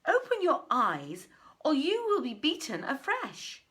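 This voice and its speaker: A woman's voice: high-pitched, wildly swooping pitch, unhurried, a bit bright, pitched above the performer's own voice, full character voice. A woman voicing a brazen swindler who pleads and declaims in a storybook reading. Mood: urgent warning. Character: brazen swindler posing as a blind man, complaining loudly.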